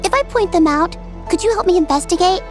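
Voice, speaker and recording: A woman's voice: very high-pitched, expressive, fast talker, faint music behind. A woman voicing a small, observant female nonhuman detective in a video game, explaining things in an analytical manner. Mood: confident, analytical. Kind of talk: animated video game voice acting.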